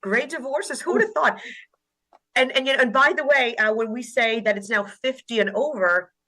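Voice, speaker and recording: Bright-voiced woman attorney. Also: a little high, normal speed. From Poland. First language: Polish. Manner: enthusiastic and talkative. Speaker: family law attorney guest. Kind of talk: interview. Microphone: video call.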